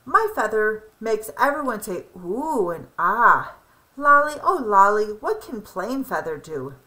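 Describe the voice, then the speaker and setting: A woman's voice: medium pitch, very animated, measured pace, sharp tone, low-quality recording. A woman voicing a showy, tittering blue jay in a children's storybook, with singsong exclamations. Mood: showy, amazed.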